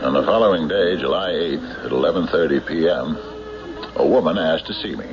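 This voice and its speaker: A man playing a tough, skeptical sheriff in an old radio drama, measured, dry and probing, on a muffled recording. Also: rumbling low, background noise. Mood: brooding narration. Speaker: sheriff narrating his own investigation. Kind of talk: old-time radio drama acting and narration.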